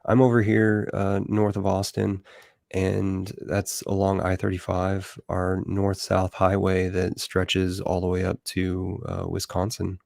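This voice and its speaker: Low gravelly host. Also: male voice, monotone, a little slow, a little mellow, no echo, clean studio sound. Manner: rambling, many fillers. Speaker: livestream host. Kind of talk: casual online chat.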